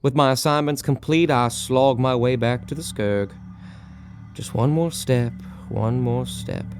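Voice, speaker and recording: A man's voice: mid-range voice, unhurried, noisy background, close to an everyday voice. A man playing a world-worn, weary taxman of the afterlife, narrating his own story with wry resignation. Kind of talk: first-person dramatic story narration.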